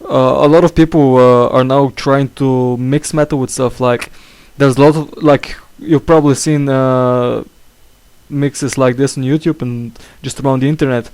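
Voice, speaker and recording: A man's voice: medium pitch, fairly even intonation, normal speed, a little mellow, clear, resonant tone. A young man hosting a casual podcast, with a slight cough, talking hesitantly and stumbling through fillers and restarts. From England. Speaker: podcast host. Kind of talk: casual chat.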